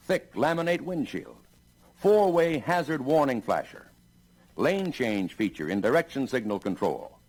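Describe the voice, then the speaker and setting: An American man reading scripted narration for a 1960s sales training film in a measured, emphatic announcer manner. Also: mid-range voice.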